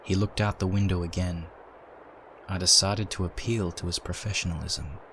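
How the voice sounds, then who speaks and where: A man with a deep, low voice narrates a dramatic first-person story. He reads from a script, with noticeable ambient sound behind him. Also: fairly even intonation, normal speed, fairly bright tone, slight background noise.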